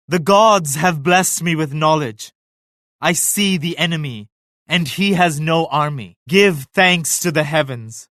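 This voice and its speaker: An actor playing a devout, regal Mughal emperor in a video game, speaking in a formal, grave and pious manner. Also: male voice, on the high side, fairly bright tone, no room sound, clean studio sound. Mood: confident, pious. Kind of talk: scripted game character lines.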